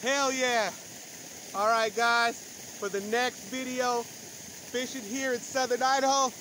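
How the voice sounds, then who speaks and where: A man's voice: very high-pitched, flat delivery, slow pace, bright, forceful tone. Hyped man filming himself outdoors. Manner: hyped, slangy, whooping after catches. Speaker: fishing vlogger. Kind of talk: casual outdoor vlog commentary. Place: outdoors by a pond and river. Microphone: phone camera at arm's length. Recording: noisy outdoor phone recording.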